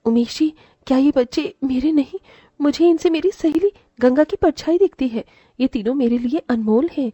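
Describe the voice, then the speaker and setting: A woman's voice: sits high, moderate pace, warm tone, dry recording, lo-fi sound, natural voice. A woman voicing a loving, protective aunt in a dramatised moral story, earnest, emphatic and emotional. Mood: tender. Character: loving, protective young aunt, firm and motherly.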